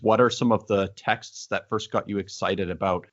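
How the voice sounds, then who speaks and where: A man's voice: fairly low pitch, steady tone, moderate pace, dry recording, lo-fi sound. A man speaking as an interviewer in a conversational literary discussion.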